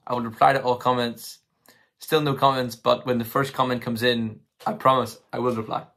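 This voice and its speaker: A man in his twenties with a slightly hoarse voice, talking casually and tentatively to camera as he thinks through his commentary. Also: medium pitch, level tone, normal speed, fairly bright tone. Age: 20s. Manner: tentative, hedging, thinking aloud. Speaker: political commentary vlogger. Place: small room at home, indoors.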